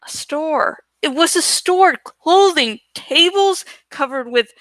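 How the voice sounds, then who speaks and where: Woman, audiobook reader, slow and suspenseful, bright voice, dramatic first-person storytelling. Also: high voice, expressive, no room sound.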